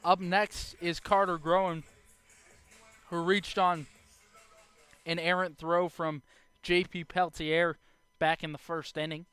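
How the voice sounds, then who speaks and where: Young man calling baseball, high bright voice. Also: little pitch movement, takes it slowly, pauses often, clean tone, soft background music. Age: young adult. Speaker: baseball play-by-play announcer. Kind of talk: live sports play-by-play commentary.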